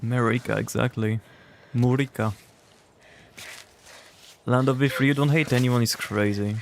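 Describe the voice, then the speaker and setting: A man's voice: medium pitch, fairly even intonation, normal speed, creaky. A young man with a French accent talks in a loose, rambling way, replying to chat messages as he plays a game on stream. He sits at a desk microphone at home, with a faint noise under his voice. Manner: chatty, rambling, answers chat. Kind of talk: casual livestream chat while gaming.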